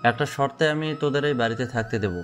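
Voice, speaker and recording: A man's voice: mid-range voice, fast talker, lightly acted. A cold, scheming uncle barks orders and bends his family to his will, played by a man. He is greedy and bossy, and his voice sits in a plain middle register. Faint music plays behind him. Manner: curt, domineering orders. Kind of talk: Bengali audio drama acting.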